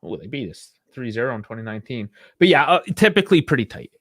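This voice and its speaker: Male podcast co-host, mid pitch, dry close sound, loose and rambling. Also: very animated, fast, a bit bright, no room sound. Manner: chatty, rambling, laughs often. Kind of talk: casual sports chat.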